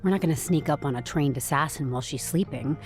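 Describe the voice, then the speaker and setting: A woman's voice: sits low, fast talker, a little mellow, faint music behind, natural voice. An actress playing a shrewd female HR sleuth in a podcast drama, matter-of-fact and decisive in a naturalistic style. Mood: probing, decisive. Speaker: actor in a scripted podcast. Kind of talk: naturalistic audio drama acting.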